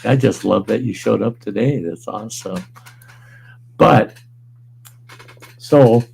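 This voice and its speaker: Hoarse, deep-voiced gravelly man. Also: slow pace, a little mellow, low-quality recording. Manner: laid-back, rambling, chuckles often, salty language. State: hoarse, weakened voice. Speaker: livestream host. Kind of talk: casual livestream chat reading viewer comments. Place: at home.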